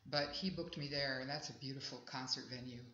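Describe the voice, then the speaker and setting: A woman in her sixties talks about her music in a rambling, reflective way. Her voice is bright and clear, and the live recording has an echoey, somewhat dull sound. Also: extremely low pitch, steady tone, brisk. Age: 60s. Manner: chatty, warm and reflective, rambling. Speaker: singer-songwriter guest. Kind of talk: casual interview at an outdoor festival. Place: festival grounds.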